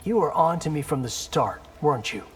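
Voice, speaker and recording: An actor playing a brash, defiant young ninja in a video game, cocky and sardonic. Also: male voice, a little high, expressive, a little fast, faint music behind, lightly acted.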